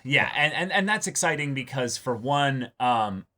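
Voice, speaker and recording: A man's voice: mid-range voice, animated, normal speed, sharp tone. A man co-hosting a reaction show, casually discussing a TV episode in an enthusiastic, emphatic way.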